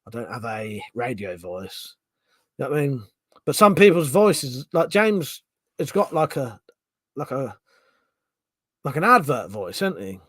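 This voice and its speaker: Middle-aged male streamer, casual and sweary, animated pitch, dry close mic. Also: measured pace, long pauses, husky, dry recording. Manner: chatty, swears casually, restarts sentences. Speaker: streamer reading chat. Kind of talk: casual live-stream chat.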